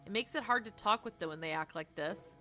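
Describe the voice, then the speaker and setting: A young woman streamer reading a visual novel aloud expressively, with dramatic, casual, animated asides. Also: mid-range voice, brisk, faint music behind.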